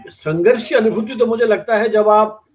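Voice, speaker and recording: A man's voice: sits high, moderate pace. A man interviewing a guest conversationally, building reflective questions out of short phrases. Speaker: interviewer, professor hosting the conversation.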